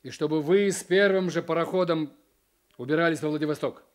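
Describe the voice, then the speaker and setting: An actor playing a stern, decisive district official, authoritative and clipped, in a radio play. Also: male voice, fairly high pitch, a little fast, clear, resonant tone, close to an everyday voice. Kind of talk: Soviet radio play acting.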